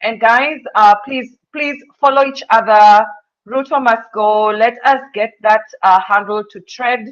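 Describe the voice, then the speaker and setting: A woman's voice: fairly high pitch, unhurried. Urgent woman on a dull line. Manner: urgent, rallying.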